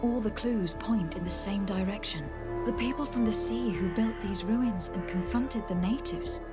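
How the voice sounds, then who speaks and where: A woman's voice: medium pitch, normal speed, lightly acted. Woman acting composed, formal noblewoman over music. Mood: grave, intent. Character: composed, inquisitive young noblewoman envoy, diplomatic and earnest. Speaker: voice actor in a fantasy video game. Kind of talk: game dialogue.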